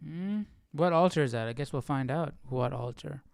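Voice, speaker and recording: A man's voice: on the high side, normal speed, creaky, darkish tone, slight background noise. A man hosting a Bible study livestream, casually thinking aloud and reading verses in a questioning, informal way.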